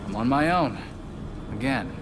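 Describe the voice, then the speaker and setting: An actor playing a wry young vigilante hero in a video game, informal and half talking to himself. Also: male voice, medium pitch, expressive, unhurried, very noisy, close to an everyday voice. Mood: pensive, determined. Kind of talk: cinematic video game acting. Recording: loud game music behind.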